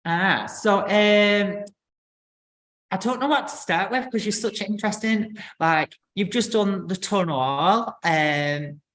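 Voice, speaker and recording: A woman's voice: mid-range voice, slow pace, a bit bright. A woman, hosting a casual live interview in an informal, hesitant, rambling way. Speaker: host of a running channel.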